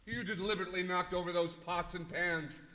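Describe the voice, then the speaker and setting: Stern, blustering boss, high and sharp, vintage radio comedy in an echoing hall. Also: male voice, fairly even intonation, brisk. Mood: indignant. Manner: blustering, scolding. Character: indignant, stern male department store boss. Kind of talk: 1940s radio comedy acting. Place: live stage in a museum hall. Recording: echoey hall, off mic.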